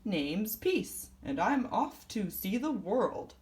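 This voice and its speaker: A woman voicing an easygoing, wise older male turtle in a storybook, folksy and unhurried. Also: mid-range voice, sing-song, a little mellow. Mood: kindly.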